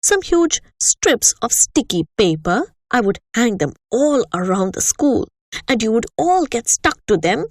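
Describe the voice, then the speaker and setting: A woman's voice: on the high side, sing-song, measured pace, dry recording, clean studio sound, heavily acted. A woman doing a raging bully headmistress. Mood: scornful. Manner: shouting, contemptuous.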